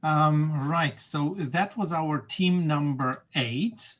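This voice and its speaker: A man moderating an online school video meeting, relaxed and chatty, with many fillers and small laughs. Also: on the high side, takes it slowly. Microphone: video call.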